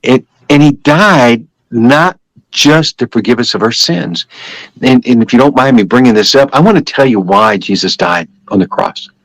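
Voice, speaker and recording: A man's voice: mid-range voice, normal speed, dry recording, poor audio. Older man giving earnest spoken testimony, dry sound. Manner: emphatic, repetitive, conversational storytelling. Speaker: podcast guest giving testimony. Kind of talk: personal religious testimony.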